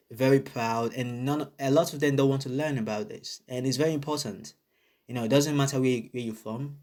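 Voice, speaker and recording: A man from Nigeria with a Nigerian accent, ranting bluntly to camera in a casual vlog, swearing often and repeating his points for emphasis. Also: medium pitch. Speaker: vlogger. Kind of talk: casual opinion rant to camera.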